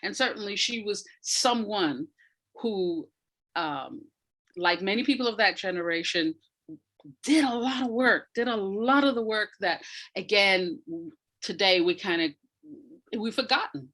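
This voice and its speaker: A woman's voice: mid-range voice, animated, a little slow, fairly bright tone. A woman giving reflective, conversational explanations as an interview guest, thoughtfully searching for her words. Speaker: academic and audio dramatist, interview guest.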